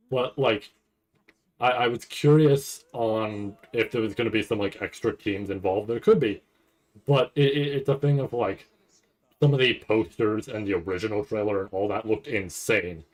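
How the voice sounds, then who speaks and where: A man with a fairly low voice talks like a streamer reacting live. He rambles casually, thinks out loud as he goes and swears freely. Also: rough recording. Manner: rambling, thinking aloud, profane. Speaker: streamer reacting to an anime trailer.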